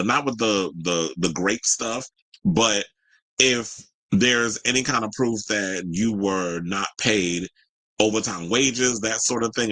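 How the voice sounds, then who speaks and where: A man's voice: lowish voice, bright, forceful tone, dry recording, poor audio. A man hosting casual gossip commentary, chatty and candid, with a sassy, colloquial way of talking to his audience. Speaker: host of a commentary show.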